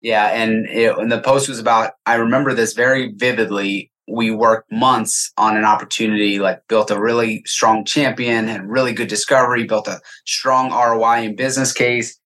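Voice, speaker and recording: A man's voice: on the low side, fairly even intonation, moderate pace, few pauses, a bit bright. A man speaking as an interview guest, casual and anecdotal, voicing quoted remarks as he explains. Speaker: podcast guest, software sales executive.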